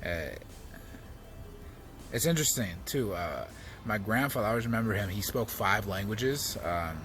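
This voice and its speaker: An American man telling reflective personal stories as a storyteller, speaking haltingly and often repeating words as he searches for the next phrase. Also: fairly low pitch, lively intonation, fairly bright tone, soft background music. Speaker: comedian and storyteller.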